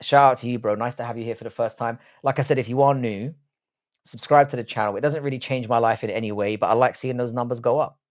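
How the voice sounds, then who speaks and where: A man's voice: medium pitch, fairly even intonation, fast. A man with a London accent, live streaming casually, answering chat in an informal, matey way and swearing freely. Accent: London English. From London, England.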